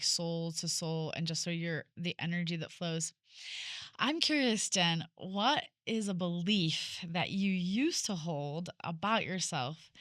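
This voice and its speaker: Woman podcast host with a lowish voice who asks curious, open-ended questions, recorded dry. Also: a bit bright, no room sound. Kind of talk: conversational interview.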